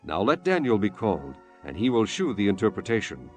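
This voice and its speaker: A man's voice: on the low side, huge pitch swings, fairly quick, a little mellow, soft background music. A man giving solemn, formal scripted narration for a dramatized Bible.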